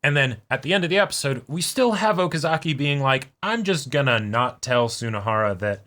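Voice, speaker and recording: A man's voice: mid-range voice, animated, fairly quick, bright, forceful tone. A man co-hosting a reaction show in casual, colloquial discussion, repeating words as he reasons things out. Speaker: co-host of an anime reaction show.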